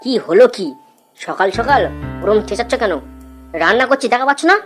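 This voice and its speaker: A man puts on a sharp-tongued middle-aged housewife for a comic cartoon. The voice is high, irritable and sarcastic. Music is clearly heard behind it. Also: animated, a little fast. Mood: irritated. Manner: sarcastic, nagging.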